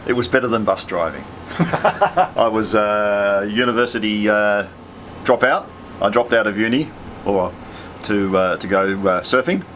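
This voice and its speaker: A man's voice: fairly low pitch, animated, unhurried, long pauses, a bit bright. An Australian man in his sixties, an air traffic controller, explaining things casually in a laconic, matter-of-fact way.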